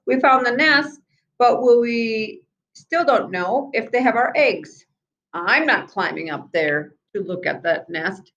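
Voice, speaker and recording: A woman voicing curious, eager young boys in a children's storybook, with an excited, questioning manner. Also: fairly high pitch, slow, highs cut off, pitched above the performer's own voice, heavily acted. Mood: curious, puzzled.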